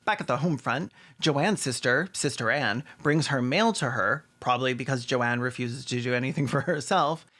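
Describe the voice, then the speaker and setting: A man's voice: medium pitch, normal speed, hardly any pauses, pushed and bright. A man narrating scripted comedic commentary in a wry, sarcastic manner. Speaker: video essay narrator.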